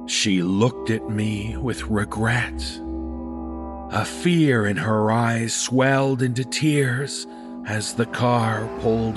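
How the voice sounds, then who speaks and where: A man reading a scripted first-person horror story in a dramatic, suspenseful manner. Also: fairly low pitch.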